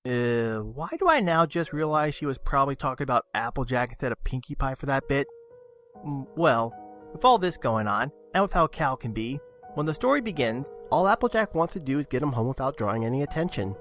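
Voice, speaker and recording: A man's voice: medium pitch, lively intonation, a little fast, fairly bright tone. A man reading a scripted review as a video reviewer. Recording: music under the voice. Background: background music.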